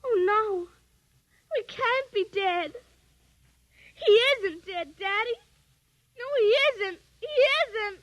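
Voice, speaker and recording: A woman's voice: very high voice, lively intonation, a bit bright, dull audio, exaggerated voice. An actress playing a stubborn, plaintive nine-year-old girl with an innocent yet eerie air, in 1950s radio drama style. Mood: tearful disbelief. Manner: plaintive, slow, insistent.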